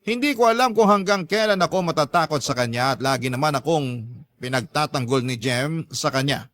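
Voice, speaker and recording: A man's voice: on the high side, lively intonation, brisk, a bit bright, no room sound, studio quality. A man narrating a dramatic first-person story in a confiding, earnest manner.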